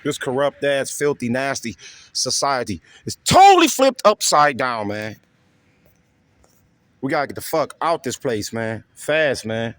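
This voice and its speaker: A man's voice: medium pitch, sing-song, a little slow, a bit bright, dry recording. A man preaching in a profane, taunting rant, repeating a rhetorical question again and again. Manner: repetitive and taunting, keeps asking "you see?". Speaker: street preacher.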